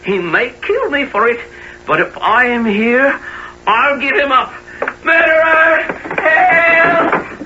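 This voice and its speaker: An actor in a radio play playing a grieving, indignant young gang member, earnest and accusing. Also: male voice, very high-pitched, slow pace. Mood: fearful defiance. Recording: muffled radio-play recording with clear noise.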